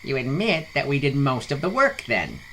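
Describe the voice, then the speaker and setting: A man's voice: medium pitch, big pitch swings, normal speed, fairly bright tone, slight background noise, pitched above the performer's own voice, poor audio. A man voicing a smug adventurer who reasons and taunts as he argues. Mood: teasing. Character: smug, needling male adventurer scoring points in argument.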